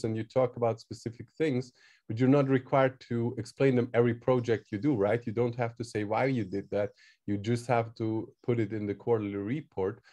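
Mellow man, halting English. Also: mid-range voice. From Switzerland. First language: not English. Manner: halting, searching for words. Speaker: guest, crypto project team member. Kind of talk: casual interview answers.

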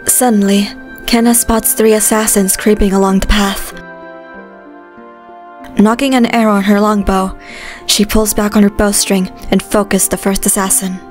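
A woman narrates a scripted fantasy story. Her medium-pitched voice stays fairly flat, with light music underneath. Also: moderate pace.

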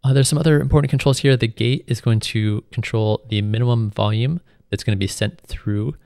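A man's voice: medium pitch, fairly even intonation, brisk, vocal fry, a little mellow, no room sound, studio quality. A man giving an informal, step-by-step tutorial voice-over as a music production instructor. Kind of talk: screen tutorial narration, explanatory.

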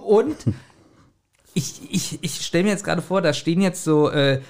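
Higher-voiced man chatting casually. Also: animated, a little slow, a little mellow. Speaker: podcast host. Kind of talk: casual chat, retelling and reviewing an audio drama.

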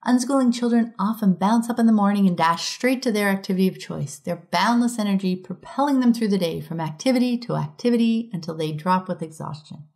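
A middle-aged woman reading a prepared conference talk aloud in a measured, reflective way as a podcast host. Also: mid-range voice, a little mellow.

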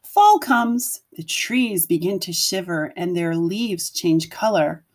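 A woman's voice: fairly low pitch, lively intonation, takes it slowly. A woman teacher reading a picture book aloud to children, explaining things simply and putting questions to her listeners.

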